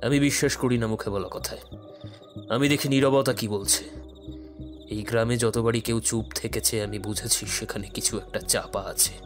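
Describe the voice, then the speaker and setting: An actor playing a calm, enigmatic detective in an audio thriller, speaking in a measured, deliberate, brooding way. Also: male voice, lowish voice, close to an everyday voice. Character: calm, enigmatic male detective who works in the shadows. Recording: dramatic music under the voice.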